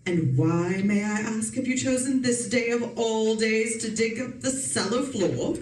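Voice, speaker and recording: A woman's voice: a little high, measured pace, darkish tone, natural voice. A woman playing a bossy, scolding middle-aged wife in an old-time radio suspense play. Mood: indignant. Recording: roomy, distant mic, faint noise.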